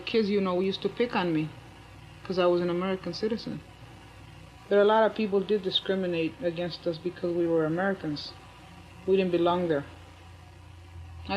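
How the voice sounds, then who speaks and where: An older woman recalling her life in a halting, reflective way, in an archival oral history interview. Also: mid-range voice, darkish tone, a little hiss or hum. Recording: dull lo-fi archival tape.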